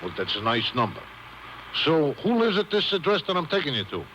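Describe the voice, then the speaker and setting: An actor playing an amiable, slow-witted cab driver in a 1950s radio drama, slangy, chatty and comically puzzled. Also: male voice, on the high side, big pitch swings, sharp tone. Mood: puzzled, affable. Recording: dull, noisy cassette of old radio broadcast.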